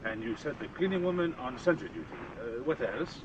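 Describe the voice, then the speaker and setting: Male actor as suave foreign detective, mid-pitched, lively intonation, courteous and measured, old radio drama, noisy sound. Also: lo-fi sound, close to an everyday voice. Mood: calm, inquiring. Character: suave southern European detective, calm and courteous. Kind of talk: period radio drama acting.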